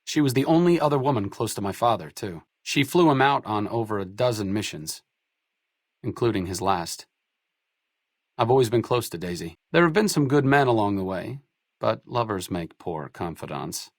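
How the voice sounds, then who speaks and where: A man's voice: fairly low pitch, animated, moderate pace, no room sound. A man playing a wry, bookish idealist in a video game, with a dry, erudite and sardonic way of talking. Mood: reflective. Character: wry, bookish male idealist medic, dryly sarcastic. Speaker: companion character in a role-playing video game. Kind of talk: video game character dialogue.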